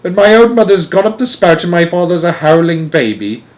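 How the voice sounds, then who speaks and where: A man voicing a distraught, wailing middle-aged woman in a storybook, shrill and full of exclamations. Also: sing-song, brisk. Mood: frantic alarm.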